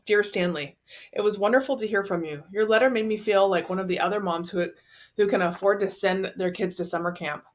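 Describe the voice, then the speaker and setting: A woman voicing a loving, proud mother's letter in an affectionate, gently encouraging way, as part of a story reading. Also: medium pitch, a little fast, close to an everyday voice.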